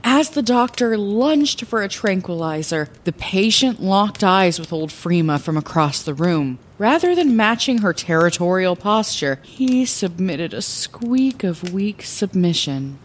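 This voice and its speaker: A woman's voice: medium pitch, very animated, measured pace, few pauses. A woman playing a wry, impulsive lighthouse keeper who narrates her adventures theatrically in a fantasy audio drama. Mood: wry suspense.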